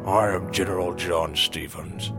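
A stern general in an audio drama. His booming, authoritative voice is very deep and slow, with loud music behind. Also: fairly even intonation. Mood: commanding. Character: commanding, stern male army general.

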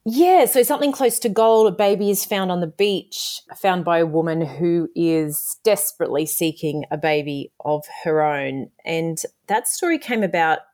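A woman's voice: fairly low pitch, normal speed, few pauses, darkish tone. A woman from Australia, an author, answering interview questions in a thoughtful, meandering way with many fillers and restarts.

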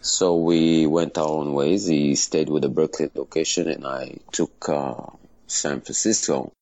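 Very deep gravelly man with Israeli accent. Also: steady tone, a little mellow. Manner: halting, many pauses and fillers. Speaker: martial arts school owner. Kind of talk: casual interview answers.

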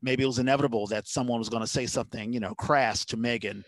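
Guy hosting a chatty podcast, kinda low voice, rambles along, pretty dry recording. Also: fairly even intonation, fast, dry recording. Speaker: podcast host. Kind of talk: casual interview chat. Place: indoors at home, on a video call.

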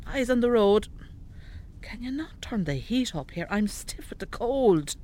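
An elderly Irish mother in a naturalistic radio drama. She is bossy, devout and sharp-tongued, scolding and nagging with a very animated, swinging delivery. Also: a little high, a little slow. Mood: grumbling.

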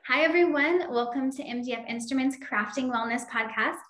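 Female interview host, welcoming and upbeat; a bit high-pitched, recorded in a reverberant room. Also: fairly quick, over loud music. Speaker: podcast host. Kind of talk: podcast interview hosting.

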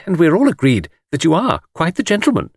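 A man's voice: fairly high pitch, huge pitch swings, a little fast, vocal fry, breathy edge, dry recording, studio quality, voice pushed up, exaggerated voice. A man voicing a coy, breathless and gushing young woman tourist in an audiobook. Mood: distressed, earnest. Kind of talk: audiobook character voice.

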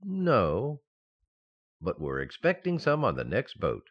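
Young male office worker, radio comedy, played by an actor: very low voice, lively intonation, nervous and earnest. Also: slow pace, slightly rough, no echo, muffled recording, exaggerated voice. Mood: sheepish, earnest. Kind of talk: radio sitcom acting.

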